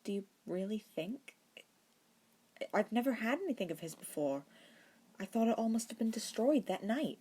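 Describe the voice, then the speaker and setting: A woman reading the part of an eager young boy in an audiobook, earnest and expressive. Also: fairly high pitch, moderate pace, pauses often, slightly rough, pitched above the performer's own voice. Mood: quietly hopeful.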